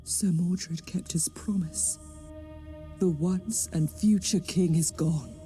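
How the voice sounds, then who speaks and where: A woman playing an ancient, imperious sorceress goddess in a fantasy game, speaking in a theatrical, commanding way with a breathy, whispery edge. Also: lowish voice, dark tone. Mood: grave, brooding. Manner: commanding, haughty, theatrical, deliberate. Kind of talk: dramatic fantasy game voice acting. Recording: dramatic music behind the voice. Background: music.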